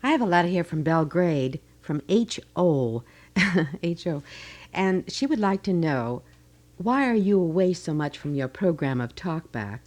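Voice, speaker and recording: A middle-aged American woman with a slightly low voice co-hosts a radio show. She reads listeners' letters aloud and slips into casual banter between them. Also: very animated, measured pace, a little mellow. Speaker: radio co-host. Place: radio studio. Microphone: studio broadcast microphone.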